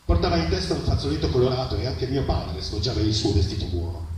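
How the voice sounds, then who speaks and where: A man's voice: mid-range voice, very animated, fast talker. A man reading literary prose aloud as a reader at a live event. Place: live public reading at a festival, picked up from afar. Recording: very echoey, distant microphone, clearly noisy live event.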